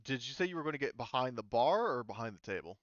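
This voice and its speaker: A man's voice: mid-range voice, sing-song, fast, fairly bright tone, dry recording, lo-fi sound. A man acting as game master in a casual online tabletop session, explaining rules informally. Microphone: voice call over the internet.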